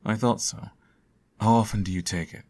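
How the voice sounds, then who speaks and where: A man playing a sardonic, theatrical supervillain who fusses over his nemesis in an ASMR audio roleplay, his voice slightly husky. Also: lowish voice, steady tone, moderate pace, a little mellow, lightly acted. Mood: caring, fussing. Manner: theatrical, teasing, sarcastic.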